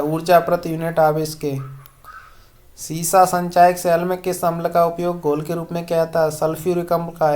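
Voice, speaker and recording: An exam coaching teacher reads a lesson aloud in a methodical question-and-answer manner, giving short answers. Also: mid-range voice. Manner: methodical quiz delivery, each question followed by a short answer.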